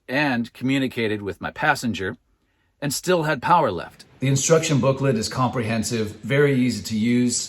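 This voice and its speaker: A man presenting a product review as scripted narration, with measured, clearly phrased delivery. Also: mid-range voice, no room sound. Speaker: product reviewer and channel host.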